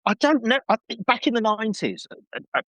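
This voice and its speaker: A middle-aged man guesting on a podcast, chatting casually in an enthusiastic, halting, emphatic way. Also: high voice, sing-song, fairly bright tone, no room sound. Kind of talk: casual chat.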